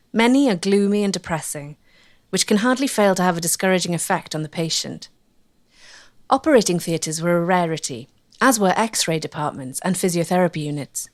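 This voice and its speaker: A woman reads scripted documentary narration in a measured, articulate way. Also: mid-range voice.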